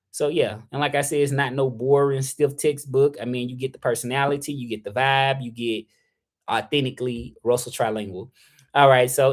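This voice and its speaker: Rambling Southern man on a livestream. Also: mid-range voice. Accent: Southern US (Memphis). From Memphis, Tennessee, US South. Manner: loose and rambling, with many fillers, "like" and "you know". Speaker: freelance interpreter hosting a livestream. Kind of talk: casual livestream talk.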